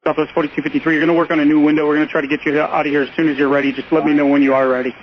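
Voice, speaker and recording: A man's voice: fairly high pitch, level tone, racing. A man working as an air traffic tower controller, giving clipped procedural instructions over aviation radio. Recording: muffled, lo-fi radio with faint noise.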